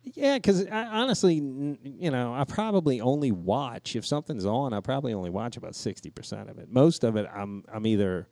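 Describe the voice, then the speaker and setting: A man's voice: medium pitch, animated, brisk, hardly any pauses, a little mellow, no room sound. A man chatting casually as a podcast co-host in a crude, profane, joking way. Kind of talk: casual chat.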